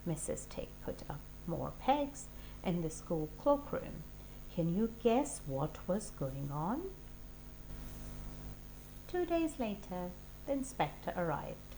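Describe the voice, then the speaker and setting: Storybook reader: woman, slow and clearly animated delivery aimed at young children, some noise on the recording. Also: fairly low pitch, rough recording. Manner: deliberate, expressive reading for young children. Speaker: teacher reading a storybook aloud.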